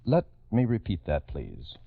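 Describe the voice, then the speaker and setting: A man with a low voice narrates like a 1950s radio crime host. He is solemn and dramatic, leaning on his words as he addresses listeners. The sound is dry and dulled like an old broadcast. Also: wildly swooping pitch, measured pace, gravelly, breathy edge, no room sound. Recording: dull vintage radio recording.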